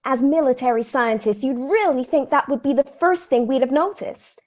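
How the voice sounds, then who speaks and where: A woman's voice: high voice, moderate pace, a little mellow, close to an everyday voice. A woman playing an earnest, matter-of-fact military science officer in a spoof B-movie radio play. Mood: earnest, urgent.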